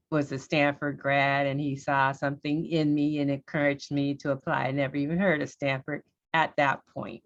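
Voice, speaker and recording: Low-voiced woman judge telling her story. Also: muffled recording. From Los Angeles. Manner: storytelling, candid and lively. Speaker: judge on a panel. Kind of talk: panel talk about her career.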